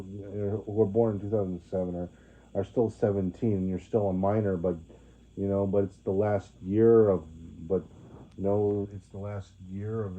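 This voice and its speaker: Halting young man, tinny phone-like sound. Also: fairly low pitch. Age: 20s. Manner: halting, many restarts and fillers. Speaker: vlogger. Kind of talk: rambling monologue to viewers.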